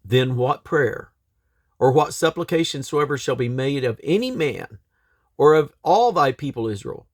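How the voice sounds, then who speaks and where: A man reads aloud from the King James Bible in a solemn, measured, declamatory way, phrase by phrase. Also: medium pitch, lively intonation, no echo, clean studio sound. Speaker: scripture reader and host.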